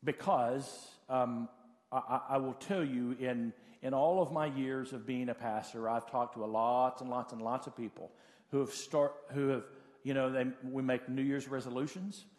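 A man in his late forties preaches with a medium-pitched voice that rings through a large, echoing church space. He sounds like a pastor talking directly to people, offering practical advice in a conversational style. His delivery is halting, with phrases said again for emphasis. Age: late 40s. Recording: hall reverb of a large room.

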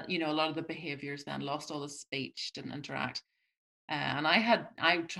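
Low, bright-toned woman. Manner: reflective, halting with many restarts. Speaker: guest. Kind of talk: conversational interview.

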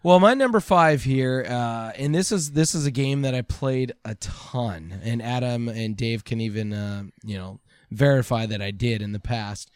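A man's voice: mid-range voice, normal speed, few pauses, clean tone, dry recording, clean studio sound. A man co-hosting a podcast, chatting casually like an enthusiastic nerd. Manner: self-confessed nerdy enthusiast. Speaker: podcast co-host. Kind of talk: casual chat.

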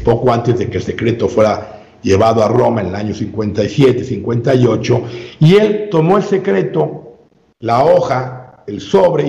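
A man speaking as an interview guest, giving a long measured explanation with frequent filler sounds and restarts. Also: medium pitch, vocal fry, warm tone. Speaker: guest researcher. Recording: roomy, dull lo-fi sound.

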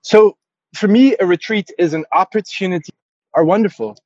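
A man's voice: high voice, moderate pace, darkish tone, dry recording, lo-fi sound. Hesitant man, native Arabic speaker, dry sound. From Egypt. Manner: hesitant, many restarts and fillers, laughs easily. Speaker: yoga teacher, guest. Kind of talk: podcast interview.

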